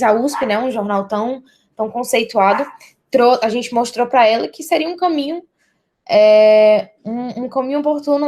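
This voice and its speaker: A woman's voice: on the high side, background noise. A young adult woman with a Brazilian accent, giving an academic presentation as a university student.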